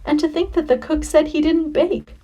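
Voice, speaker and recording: A woman's voice: high-pitched, moderate pace, dark tone, voice pushed up. A woman voicing a jolly, sly storybook king with a grand, coaxing, knowing manner. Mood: pleased wonder.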